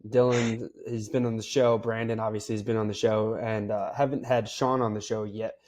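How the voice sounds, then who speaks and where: A man's voice: lowish voice, little pitch movement, moderate pace, darkish tone. A man hosting a podcast, chatting casually and asking his guest open questions. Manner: friendly, uses fillers, asks open questions. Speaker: podcast host. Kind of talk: casual interview chat.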